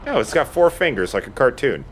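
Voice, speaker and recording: A man's voice: mid-range voice, sing-song, fairly quick, slight background noise. A man giving casual, informal Let's Play commentary while playing a video game.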